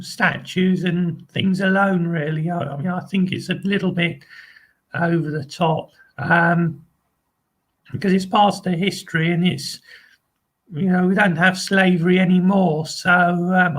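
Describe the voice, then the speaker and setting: Slow, hesitant high-voiced man. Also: fairly bright tone. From England. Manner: hesitant, many ums and restarts; rambling, repeats phrases like "really nice". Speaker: channel host. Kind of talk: casual live video chat.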